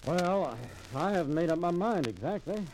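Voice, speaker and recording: A man's voice: sits high, expressive, moderate pace, a little mellow, low-quality recording. An actor playing a mild-mannered, undecided voter who fumbles hesitantly over his words, in 1940s radio style. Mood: unsure. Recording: old radio recording with noise.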